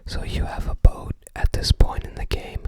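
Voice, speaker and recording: An ASMR host whispering in a hushed, unhurried way, musing aloud while browsing a book.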